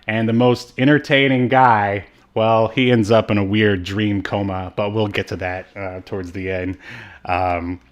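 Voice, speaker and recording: A man's voice: on the low side, steady tone, unhurried, muffled recording. A man co-hosting a casual movie review podcast, rambling and hesitant with frequent asides. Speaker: podcast co-host.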